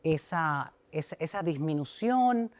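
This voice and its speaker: A woman hosting a talk show, interviewing a guest in a fluent, chatty manner and quickly prompting him. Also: low-pitched, expressive.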